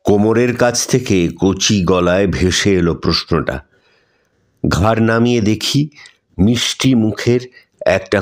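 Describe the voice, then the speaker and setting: A man narrating a scripted ghost story in a dramatic, suspenseful style with measured phrasing. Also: on the low side. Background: instrumental music.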